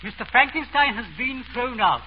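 An actor in a 1930s radio comedy playing a formal, deferential, deadpan butler. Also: male voice, very high-pitched, moderate pace. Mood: formal, unruffled. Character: proper, deferential male butler. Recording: dull, noisy 1930s radio recording.

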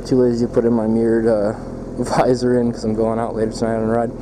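American guy rambling casually on a motorbike, jokey, mid pitch, really loud engine and wind noise. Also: completely flat delivery, quick pace. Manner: joking, irreverent, self-deprecating. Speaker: motovlogger. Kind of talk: casual rambling live commentary while riding. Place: outdoors riding a motorcycle in city traffic. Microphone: external mic worn while riding. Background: motorcycle engine, traffic and wind.

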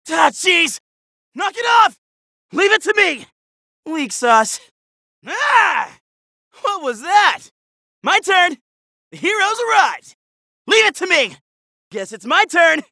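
A cocky android superhero. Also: male voice, extremely high pitch, vocal fry, dry recording, studio quality, exaggerated voice. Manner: boastful, shouty battle cries. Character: cocky young male android superhero, flashy and eager. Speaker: voice actor. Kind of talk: video game voice clips.

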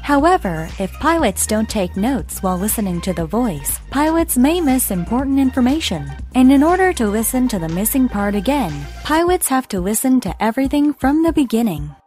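A woman reading scripted educational narration in a measured, deliberate way, enunciating carefully. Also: fairly high pitch, sing-song, a little mellow, soft background music. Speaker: narrator of an explainer lesson.

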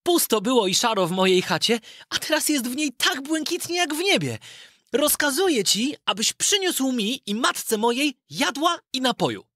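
A man doing young Aladyn, high and eager. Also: very animated, normal speed, pushed and bright, dry recording, clean studio sound, exaggerated voice. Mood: commanding. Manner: eager, high and urgent calling. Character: young male hero, bold boy in a fairy tale.

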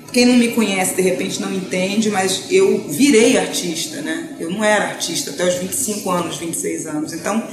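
A woman, a singer chatting in an interview, animated and laughing, with hesitant restarts. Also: medium pitch. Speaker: singer and songwriter, interview guest. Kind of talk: casual interview chat. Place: living room, seated on a sofa. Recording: roomy living-room sound.

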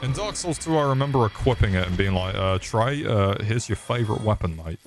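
A man's voice: fairly low pitch, animated, fairly quick, slight background noise. A young man streaming a game, swearing and joking sarcastically as he plays. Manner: sweary, sarcastic, crude jokes. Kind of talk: swearing live gameplay commentary.

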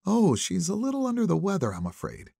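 A man's voice: high-pitched, wildly swooping pitch, a little fast, creaky, mellow, breathy edge, no room sound, clean studio sound, pitched above the performer's own voice, full character voice. A man voicing a polite, friendly, deferential woman in an audiobook. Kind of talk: audiobook character dialogue.

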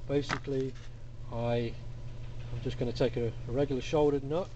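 A man casually explaining a workshop build in a relaxed, plain-spoken way, full of "uh" and "basically", filmed up close on a camera mic at a cluttered bench. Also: mid-range voice, moderate pace, muffled recording, slight background noise. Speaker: hobby engineer demonstrating a build.

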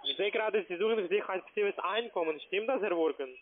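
A high-voiced man speaks with a foreign, non-native accent. He sells investments over a thin, tinny phone connection, stumbling politely through broken phrasing in a formal sales pitch. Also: fast talker. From Slovakia. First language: not German. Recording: narrow-band, tinny phone line.

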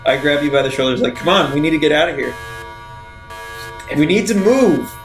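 A man's voice: a little high, expressive, brisk, reverberant. A man playing a tabletop role-playing game in casual talk, prompting and urging the others along. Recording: clear music bed behind voice.

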